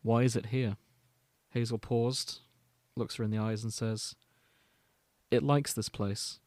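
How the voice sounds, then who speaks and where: A man's voice: medium pitch, flat delivery, a little slow, a little mellow, dry recording. Man reading a story, dry close recording. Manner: storytelling, alternating read-out prose with casual asides. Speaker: podcast host. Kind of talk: reading and summarizing fan fiction.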